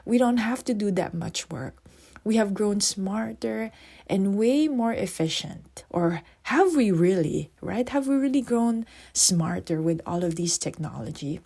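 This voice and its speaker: A middle-aged woman from the Philippines, husky this morning, hosting her podcast in a chatty way and asking her listeners questions. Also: mid-range voice, unhurried. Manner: chatty, asks listeners questions, animated asides. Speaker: podcast host and psychotherapist. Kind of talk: podcast monologue.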